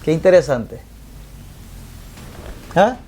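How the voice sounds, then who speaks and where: A man co-hosting a casual panel podcast, talking in an animated way with many fillers and restarts. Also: fairly high pitch, slow pace, a little hiss or hum. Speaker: podcast co-host.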